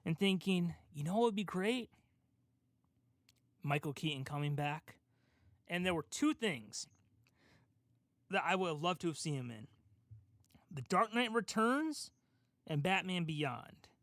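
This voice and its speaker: A man's voice: high-pitched, lively intonation, a little slow, long pauses, a bit bright, no echo, clean studio sound. A man co-hosting a casual podcast, talking in a rambling, enthusiastic fan's way. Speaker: podcast co-host. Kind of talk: casual podcast discussion of comic book films.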